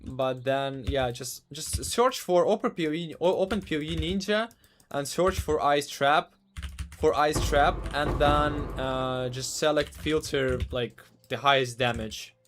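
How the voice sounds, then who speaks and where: A man from Ukraine, casually commentating a live game stream, halting and repeating words as he talks. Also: on the high side, fairly bright tone, slight background noise.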